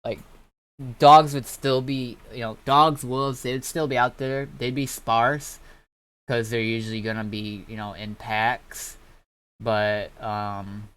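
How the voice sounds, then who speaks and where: A man's voice: medium pitch, steady tone, slow, a bit bright, smooth. A man hosting a livestream, chatting casually in a rambling, informal way with some swearing. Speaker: livestream host. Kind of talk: casual gaming stream chat.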